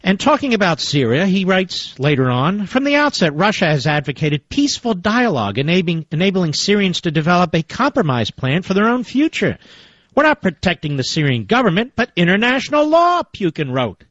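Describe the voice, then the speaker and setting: A man's voice: high voice, big pitch swings, fairly quick, a bit bright, no echo. A man hosting a talk radio show, reading quotes aloud with sarcastic asides and interviewing a guest. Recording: dull lo-fi radio audio.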